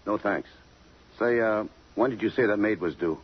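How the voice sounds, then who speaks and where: An actor playing a tough, terse, dryly commanding homicide detective in a 1950s radio drama. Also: male voice, lowish voice, moderate pace, slightly rough. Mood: businesslike. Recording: muffled, noisy 1950s radio broadcast.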